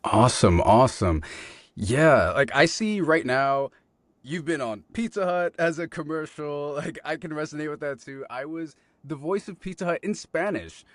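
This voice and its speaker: A young adult man with a deep voice hosting a podcast interview, upbeat and enthusiastic. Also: normal speed, no room sound. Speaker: podcast host. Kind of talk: casual interview chat.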